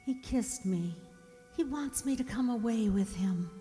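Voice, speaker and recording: Radio drama, young servant woman's inner voice played by an actress: gentle, fearful, slow, slightly high, echoing hall sound. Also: animated. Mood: dreamy, tender. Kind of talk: radio drama acting, first-person narration. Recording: reverberant hall, music behind.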